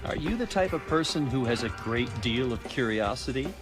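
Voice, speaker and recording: A man's voice: medium pitch, lively intonation, a little fast, natural voice. A man playing a sly, inquisitive scientist in a video game, with a wry, needling, theatrical way of talking. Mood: teasing, probing. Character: sly, inquisitive male scientist, teasing and knowing. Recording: background music under the voice.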